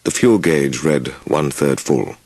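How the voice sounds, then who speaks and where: A man reading a first-person story as an audiobook narrator, with measured, deliberate phrasing and dramatic pauses. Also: rumbling low, darkish tone, no echo.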